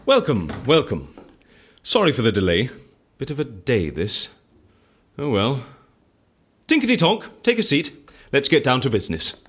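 Animated, husky British eccentric. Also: male voice, mid-range voice, a little slow, exaggerated voice. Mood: jovial. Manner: quaint exclamations, theatrical swings. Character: eccentric, chatty British male case interviewer, jovial and flustered. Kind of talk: audio drama acting.

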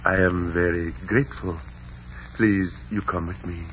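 An actor playing a courteous, dignified Aztec emperor with a formal, measured delivery in 1940s radio drama. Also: male voice, low-pitched, fairly even intonation, a bit bright, a little hiss or hum. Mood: gracious. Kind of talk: stately 1940s radio acting. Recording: dull old radio, music behind.

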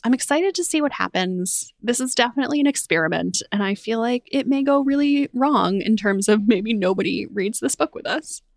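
A woman's voice: on the high side, animated, gravelly, dry recording. Eager woman podcast host, dry close sound. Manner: enthusiastic, quick run-on sentences with fillers. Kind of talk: casual book chat. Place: temporary room while traveling.

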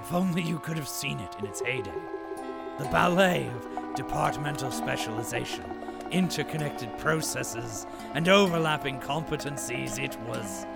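An actor playing a grandiose, wistful storyteller in an audio drama, theatrical and grandiloquent. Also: male voice, mid-range voice, lively intonation, unhurried, creaky, loud music behind the voice. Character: grandiose, wistful storyteller loyal to a fallen corporation.

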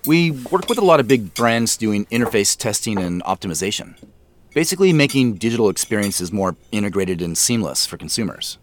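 Hesitant man in his thirties, swooping pitch. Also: very animated, a little fast, a little hiss or hum. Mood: awkward, modest. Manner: hesitant, self-deprecating. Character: awkward, modest late-thirties man running a tech company. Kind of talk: audio drama dinner dialogue.

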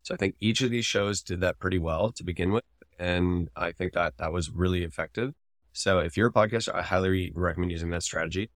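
A man's voice: low-pitched, fairly quick, no room sound, studio quality. A man co-hosting a podcast, talking casually and analytically as he explains his opinions. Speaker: podcast co-host.

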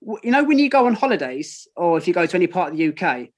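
Quick-talking London man hosting. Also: sits high, sing-song. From London, England. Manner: chatty, matey, lots of ums. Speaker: podcast host. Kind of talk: casual chat.

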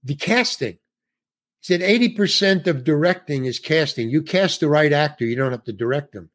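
A man's voice: a little high, lively intonation, normal speed. A man, an older actor, telling a rambling showbiz anecdote in a casual interview, restarting sentences and acting out quotes. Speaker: guest actor.

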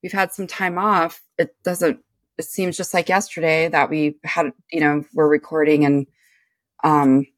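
Low-voiced relaxed middle-aged woman. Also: unhurried, no room sound. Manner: relaxed, meandering, many fillers. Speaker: podcast co-host. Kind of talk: casual chat.

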